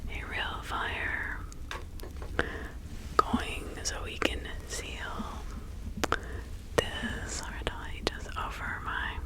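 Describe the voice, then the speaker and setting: A woman playing a reassuring seventeenth-century witch counselling a client in an ASMR role-play, whispering in a halting, ritual manner. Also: low-quality recording. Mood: solemn, ritualistic.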